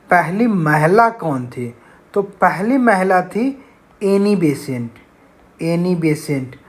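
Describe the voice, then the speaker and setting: A man teaching an exam-prep lesson, reading out questions and explaining answers in a didactic, repetitive way. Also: high voice. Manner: didactic, repeats key phrases.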